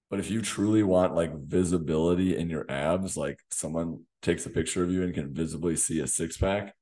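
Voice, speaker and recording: Low-voiced man, slightly hoarse coach. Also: a little mellow. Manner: direct, coaching. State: slightly hoarse, losing his voice. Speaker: fitness coach and podcast host. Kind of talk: solo podcast talk to camera. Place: small home gym. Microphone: desk condenser mic in front of him.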